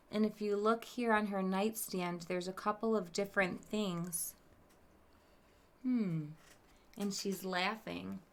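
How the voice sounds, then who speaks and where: Bright, slow-paced woman reading to children. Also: medium pitch. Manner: expressive, emphatic, asks listeners questions. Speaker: teacher reading aloud to pupils. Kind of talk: children's storybook read-aloud with asides.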